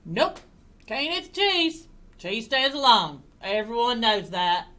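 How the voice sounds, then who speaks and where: A woman voicing a plainspoken, stubborn farmer father in a storybook, folksy and deliberate. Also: a little high, animated, fairly bright tone. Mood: refusing.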